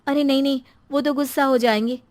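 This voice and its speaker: Woman as a meek high-voiced housewife mother. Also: steady tone, brisk, darkish tone, lightly acted. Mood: pained, hesitant. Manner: apologetic, hesitant. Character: meek, long-suffering middle-aged mother and housewife.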